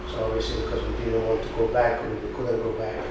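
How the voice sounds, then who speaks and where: A man's voice: fairly low pitch, monotone, fast. A man in his fifties with an Iranian accent and Persian as his first language, recalling things in a reflective, conversational way as an interviewee. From Iran. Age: 50s. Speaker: interviewee in a documentary. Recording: distant mic, very echoey room, clear noise.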